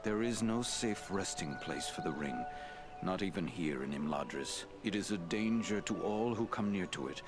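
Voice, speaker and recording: An actor playing an ancient, dignified elf lord in a fantasy game, speaking in a grave, formal, deliberate way. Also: male voice, low-pitched, animated, over loud music. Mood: grave, warning. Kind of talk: dramatic fantasy game acting.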